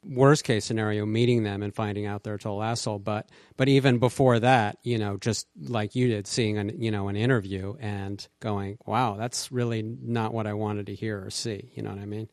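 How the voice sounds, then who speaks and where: A man interviewing a guest, asking measured, probing questions and often restarting his sentences. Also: on the low side, steady tone, a little mellow, no echo, studio quality. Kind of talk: interview conversation.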